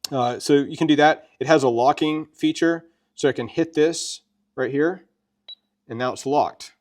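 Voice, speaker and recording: A man's voice: fairly high pitch, normal speed. A man presenting a gear review in a casual, folksy, conversational way, as if showing a friend how it works. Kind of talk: casual product demonstration chat.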